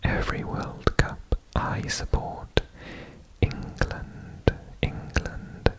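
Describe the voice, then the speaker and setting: A person from Wales whispering in a breathy, deliberate way for ASMR, repeating words. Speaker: ASMR host presenting a personal guessing quiz.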